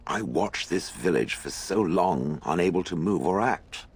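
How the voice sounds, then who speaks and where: An actor playing a haughty, world-weary stone golem in a video game, with dry sarcasm, formal diction and a gravelly voice. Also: male voice, deep voice, lively intonation, unhurried, full character voice. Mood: pensive, puzzled. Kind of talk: video game character acting.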